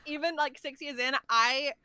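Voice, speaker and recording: A woman with a fairly high, clearly bright voice talks casually as an interview guest. She is emphatic and opinionated, handing out practical advice. The sound is dry and close on a dynamic mic. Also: unhurried, no room sound. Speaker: podcast guest, voice actor. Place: large untreated room at home. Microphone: dynamic microphone.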